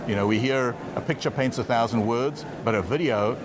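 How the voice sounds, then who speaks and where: A man's voice: mid-range voice, a little fast, crowd noise. A man talking as a company executive in a trade show interview, often stumbling and repeating words at the start of his phrases.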